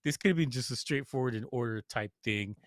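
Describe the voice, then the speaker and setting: A man's voice: mid-range voice, a little fast, dry recording, clean studio sound. A man co-hosting a podcast, giving a casual film review in an informal, conversational, lightly amused way. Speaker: podcast co-host reviewing films.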